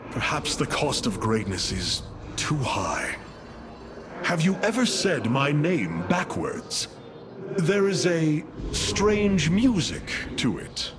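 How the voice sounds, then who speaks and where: Noble alien commander, slow formal delivery, widely swinging pitch, resolute, game cutscene with prominent music. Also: male voice, very animated, background noise. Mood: solemn, wry. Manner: formal, measured, stately. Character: noble, solemn alien warrior leader, male, resolute. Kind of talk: video game cutscene acting.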